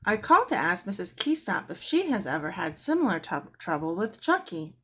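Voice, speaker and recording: A woman's voice: fairly low pitch, very animated, brisk, fairly bright tone, husky, exaggerated voice. A woman voicing a fretful, exasperated mother in a storybook, with an emphatic, complaining manner. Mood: worried, upset.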